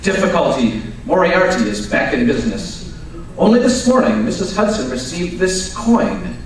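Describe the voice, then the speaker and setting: A fairly high, very animated voice, a man acting an irritable, imperious Victorian detective in an old-time radio play. He is curt and emphatic, heard in a strongly echoing room. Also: normal speed. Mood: indignant. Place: live performance at a radio club event. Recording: roomy, distant live stage recording with hiss.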